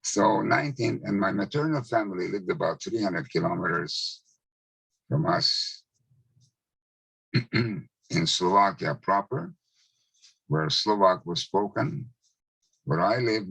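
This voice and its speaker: A man in his nineties, a Hungarian native speaker from Czechoslovakia, slowly recalling his childhood with measured pauses. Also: low-pitched, gravelly, a bit bright, slightly rough, no room sound, poor audio. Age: 90s. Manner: slow, measured recollection with pauses. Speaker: Holocaust survivor speaker. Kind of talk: testimony talk.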